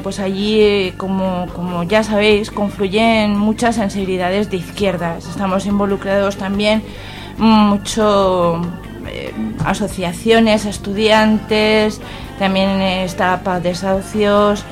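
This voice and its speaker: A woman's voice: mid-range voice, steady tone, takes it slowly, music in the background. A woman with an accent from Spain, an activist guest in a radio interview, speaking conversationally in hesitant, piecemeal phrases. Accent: Spanish from Spain.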